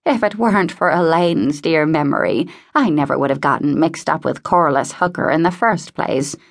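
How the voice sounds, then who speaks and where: A woman's voice: low-pitched, normal speed. A woman voicing a crotchety, bossy old woman in a novel, speaking crossly, firmly and with emphasis. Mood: bitter.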